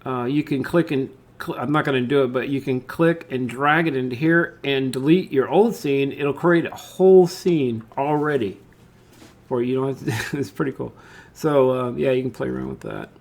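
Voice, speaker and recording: A man with a noticeably creaky, mid-pitched voice walks through a software tutorial step by step. His delivery is informal and unscripted, as if he is thinking aloud while he demonstrates each step. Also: moderate pace. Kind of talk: casual step-by-step screen tutorial narration.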